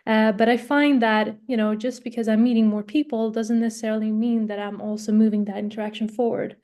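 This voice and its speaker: Soft, even-toned young woman from Sweden. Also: a little high, brisk. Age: 20s. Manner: polite, hesitant, frequent uhs, light laughs. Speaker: coaching client, podcast guest. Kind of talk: conversational interview.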